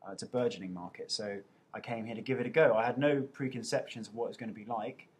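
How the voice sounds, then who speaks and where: Man, 30s, husky, mid-pitched, casual and self-mocking webcam Q&A. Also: fast. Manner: playful and self-mocking, reading out questions. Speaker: actor answering viewer questions. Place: indoors at home. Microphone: webcam mic.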